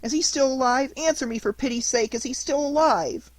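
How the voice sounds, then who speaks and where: A woman voicing an anguished wooden boy puppet, high and wailing as he pleads. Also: brisk, dry recording. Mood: eager. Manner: high wailing sobbing delivery.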